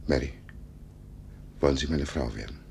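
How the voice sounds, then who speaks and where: Weary old gentleman in his seventies, extremely low creaky voice, melancholy, hesitant, radio play. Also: moderate pace, a little hiss or hum. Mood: wistful, tender. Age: 70s. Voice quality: very deep, gravelly. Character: lonely, paralysed 72-year-old gentleman, melancholy, wanting love. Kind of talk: German radio drama acting.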